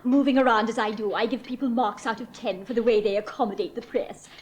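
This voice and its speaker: A woman's voice: a little high, fairly even intonation, quick pace, darkish tone, slight background noise, natural voice. An English actress in a 1970s radio play, playing a gushing, condescending newspaper reporter who dictates her copy aloud. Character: gushing, patronising woman society reporter.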